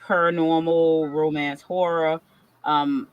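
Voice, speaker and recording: A woman's voice: low-pitched, flat delivery, takes it slowly, dry recording, lo-fi sound. A woman horror author on a panel, talking in an eager, rambling way with lots of fillers. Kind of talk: casual panel discussion.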